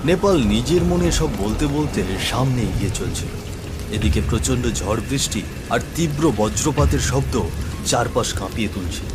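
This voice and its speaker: Narrator casting: man, dramatic scripted horror storytelling, expressive range, music and rain underneath. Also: mid-range voice, moderate pace. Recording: loud music, rain and thunder behind. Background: music, rain, thunder.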